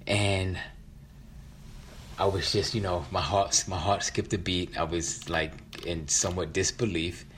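Male storyteller, middle-aged, low voice, reflective personal monologue, faint background noise. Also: steady tone, a little slow, fairly bright tone. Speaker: featured storyteller.

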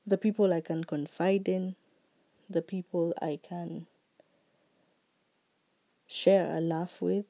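A woman hosting her own podcast, talking slowly and earnestly about what she is grateful for, her voice still recovering from being sick. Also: fairly low pitch, gravelly. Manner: slow, heartfelt and earnest, with soft trailing phrases. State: recovering voice after illness. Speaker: podcast host. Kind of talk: reflective solo podcast monologue.

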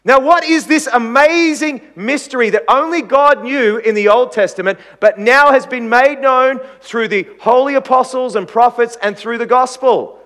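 A man's voice: very high-pitched, moderate pace, hardly any pauses, fairly bright tone, in a large hall. A man preaching a sermon in a chatty, conversational way, with jokes and asides to the congregation. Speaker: pastor.

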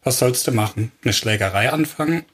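A man with a somewhat husky, breathy voice presses his point with direct questions. He talks casually but argues firmly. His pitch sits in the middle of the male range. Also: a little fast, fairly bright tone. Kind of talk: casual discussion.

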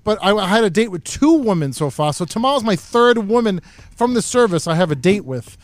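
A man in his forties chatting casually as a talk show guest, with excitable, high-energy delivery and a habit of repeating himself. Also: a little fast, dry recording. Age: 40s. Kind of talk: casual banter. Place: radio-style studio.

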